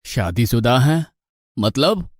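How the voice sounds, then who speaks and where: A man playing a stern, skeptical guru with an authoritative, scolding manner in an animated moral story. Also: mid-range voice, slow, no echo, clean studio sound. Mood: puzzled.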